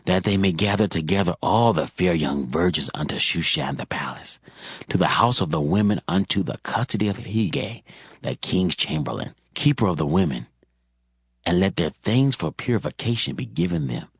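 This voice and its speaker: A man playing a solemn, formal royal servant who counsels a king in a measured, dramatized scripture reading. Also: low voice, animated, slightly rough, dry recording, studio quality, full character voice. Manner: measured, formal, archaic phrasing.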